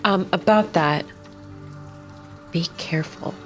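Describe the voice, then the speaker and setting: Female tabletop player, on the lower side, casual conversational delivery, light score underneath. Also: takes it slowly, soft background music. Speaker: player in a tabletop roleplaying game. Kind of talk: casual game chat.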